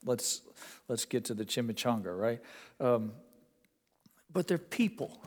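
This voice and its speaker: A man's voice: medium pitch, animated, a little slow. Indiana pastor guy preaching, folksy and earnest, medium voice, big echoey church. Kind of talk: sermon. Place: church sanctuary. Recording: long reverb of a church hall.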